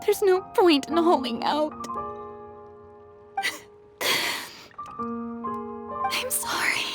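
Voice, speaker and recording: A woman voicing a proud yet fragile young goddess in an English game dub, theatrical and halting in delivery. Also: very high voice, exaggerated voice. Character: dramatic, proud young female god hiding fragile despair.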